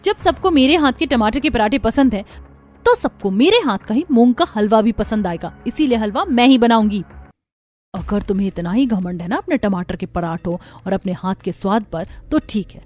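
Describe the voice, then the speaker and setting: A woman voicing a proud, jealous young daughter-in-law in an animated family story, arguing emphatically. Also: a little high, close to an everyday voice. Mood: boastful, challenging. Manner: emphatic, argumentative.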